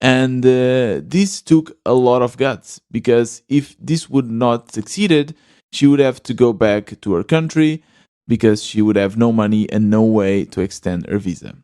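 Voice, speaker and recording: A man's voice: medium pitch, unhurried, dark tone, clear, resonant tone, no echo, studio quality. A man hosting his own podcast, talking earnestly and thinking aloud with small restarts and fillers. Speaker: podcast host. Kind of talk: solo podcast monologue.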